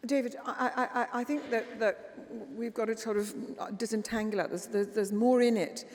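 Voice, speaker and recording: A woman with a fairly high voice chairs a panel debate in a big hall. She often repeats her opening words before a thought comes out. The sound carries a long reverb. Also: steady tone, moderate pace, a little mellow. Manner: hesitant, repeats words before getting going. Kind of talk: moderating a live panel discussion.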